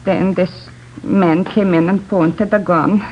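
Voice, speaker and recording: A muffled old radio drama recording with faint noise. a middle-aged Swedish immigrant mother with a lowish voice and a Swedish accent. She speaks politely and haltingly, weary and shaken. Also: fairly even intonation. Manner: halting, simple non-native phrasing. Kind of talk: 1950s radio drama acting.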